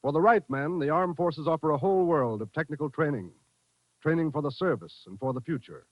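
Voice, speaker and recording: A man's voice: on the high side, animated, a little fast, dry recording. An American man announcing in a formal, polished, emphatic 1940s radio style. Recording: dull old radio broadcast recording.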